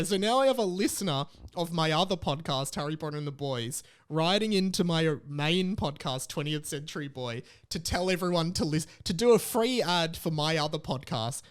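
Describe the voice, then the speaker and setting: A man with an Australian accent hosts a podcast in a voice pitched a little above average. His style is casual and rambling. He reads out messages and keeps interrupting himself with side comments. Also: animated, normal speed, clear, resonant tone. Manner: rambling, interrupts his own reading with asides. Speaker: podcast host. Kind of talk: casual chat, reading out listener messages.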